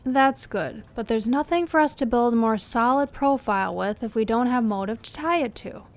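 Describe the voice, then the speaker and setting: Police chief, woman acting: slightly high voice, brisk, caustic, demanding, audio drama briefing style. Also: close to an everyday voice. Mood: impatient, caustic. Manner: commanding, caustic.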